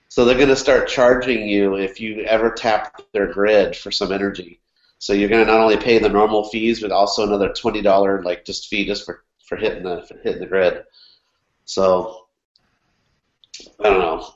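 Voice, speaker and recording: A man with a cold-stuffed, nasal voice, chatting casually as a podcast co-host and rambling with lots of fillers and restarts. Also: fairly low pitch. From California. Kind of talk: casual chat. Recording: echoey room, dull lo-fi sound.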